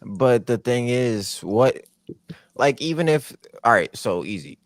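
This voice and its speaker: A man's voice: medium pitch, lively intonation, slow, no room sound, clean studio sound. A man co-hosting a podcast, talking bluntly with lots of slang in casual chat. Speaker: podcast co-host.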